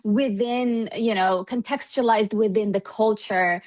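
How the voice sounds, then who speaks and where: A woman's voice: mid-range voice, measured pace, darkish tone. Thoughtful woman researcher explaining her work. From Iran. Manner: thoughtful, hedging, often says "you know" and "kind of". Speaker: researcher and professor being interviewed. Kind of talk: explanatory interview talk.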